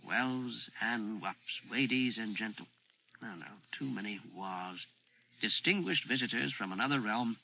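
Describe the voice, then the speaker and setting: A man voicing an earnest, distracted bearded dwarfish man who talks softly and mumbles as he muses. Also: fairly low pitch, a little slow, creaky, soft background music, heavily acted, poor audio. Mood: musing. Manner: soft, mumbling, musing.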